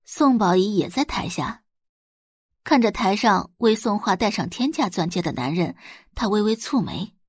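A woman reading scripted dramatic fiction as an audiobook narrator, in an expressive storytelling manner. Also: medium pitch, normal speed, breathy edge, no room sound, clean studio sound.

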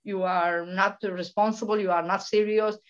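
Emphatic woman from Venezuela, halting delivery. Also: mid-range voice, steady tone. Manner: halting, many restarts and repetitions, emphatic. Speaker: guest, political researcher. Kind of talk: impassioned interview talk. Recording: dull lo-fi sound.

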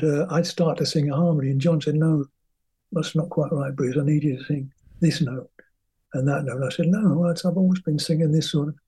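A man's voice: fairly high pitch, warm tone, husky. An older man from England, a guest musician telling rambling, chatty stories about his career in an interview. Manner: rambling, chatty, acts out quoted voices. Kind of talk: anecdotal interview storytelling.

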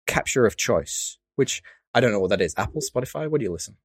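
A man hosting a podcast, chatting in quick, jokey banter. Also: lowish voice, lively intonation, slightly rough, no echo. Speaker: podcast host. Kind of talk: casual chat.

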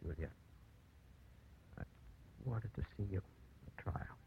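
Low-voiced earnest man, tinny radio. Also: slow pace, gravelly, heavily acted. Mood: weak, urgent. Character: weakened, earnest male lawyer, urgent and affectionate. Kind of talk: 1940s-50s radio drama acting. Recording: tinny, slightly noisy old radio broadcast.

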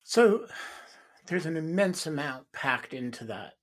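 A man hosting a podcast, asking a long, thoughtful question with halting restarts. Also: fairly high pitch, expressive. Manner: thoughtful, halting, repeats words. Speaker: podcast host. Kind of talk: interview question.

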